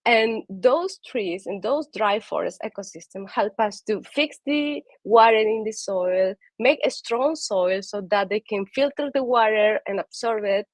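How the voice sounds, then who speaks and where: A woman's voice: mid-range voice, measured pace, few pauses, no room sound. Peruvian woman giving an earnest conservation talk. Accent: Peruvian Spanish. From Peru. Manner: earnest, with frequent fillers and restarts. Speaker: conservation speaker. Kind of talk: prepared talk.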